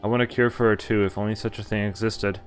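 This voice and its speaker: A man's voice: lowish voice, flat delivery, fast, faint music behind. A man streaming a visual novel, reading the game text aloud and breaking off into casual asides and reactions. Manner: reacts with asides between read lines.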